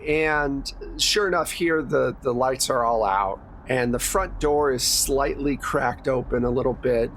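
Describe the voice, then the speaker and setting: A man's voice: medium pitch, slight background noise, poor audio. A man running a horror tabletop game as game master, describing scenes in a casual, unscripted way.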